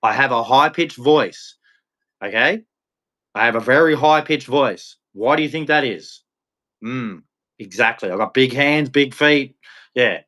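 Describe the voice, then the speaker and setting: A man's voice: medium pitch, measured pace, bright, forceful tone. An Australian man streaming a blunt, confrontational rant to his chat, ending with a preachy religious sign-off. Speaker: live streamer. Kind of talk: ranting monologue to chat.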